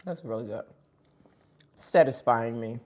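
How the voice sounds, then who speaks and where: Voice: mid-range voice, measured pace, a little mellow. A live-stream host chatting casually between bites of food, sniffling. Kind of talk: casual live-stream chat while eating.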